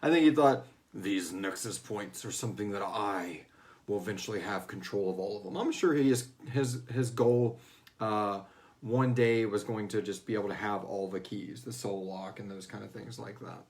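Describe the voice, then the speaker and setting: A man's voice: lowish voice, moderate pace, darkish tone. A man hosting a casual question-and-answer discussion as the writer of a fiction series, talking informally and slipping into bits of acted dialogue. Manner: informal, acts out character lines in passing. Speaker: host and writer of a serialized audio fiction series.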